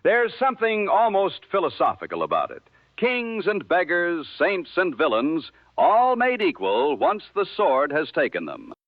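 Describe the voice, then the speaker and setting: A man acting as a wry 1960s radio host muses in measured, theatrical phrases. His fairly high voice swoops expressively, and it sits close and dry in a dull vintage broadcast sound. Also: huge pitch swings, no echo, heavily acted. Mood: wry musing. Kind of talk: scripted 1960s radio show banter.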